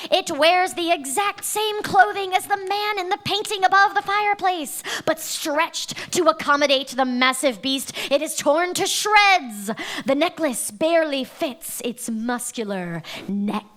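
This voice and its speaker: A woman's voice: extremely high pitch, lively intonation, measured pace, a bit bright. A woman reading stage directions as narrator in a dramatic live table read of a script.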